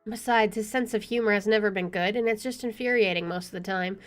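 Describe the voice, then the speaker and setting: A woman's voice: mid-range voice, fast talker, faint music behind. A woman hosting a gaming video, expressively reading story text aloud and adding casual asides.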